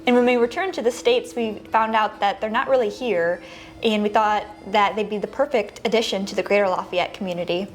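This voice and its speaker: A woman's voice: mid-range voice, a little fast, soft background music. A woman who co-owns a bakery, answering interview questions cheerfully with light laughs and fillers. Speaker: bakery co-owner, interview guest.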